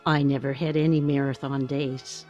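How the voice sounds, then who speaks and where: An actress in a radio play playing a contented middle-aged wife, demurring in an understated way. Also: female voice, a bass voice, level tone, normal speed, warm tone, soft background music. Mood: dry, mild.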